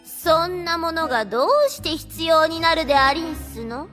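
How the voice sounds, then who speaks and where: Haughty vampire girl, very high. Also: moderate pace, over music. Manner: archaic courtesan-style diction, taunting. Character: haughty vampire girl with archaic courtesan speech. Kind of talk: Japanese anime audio drama acting.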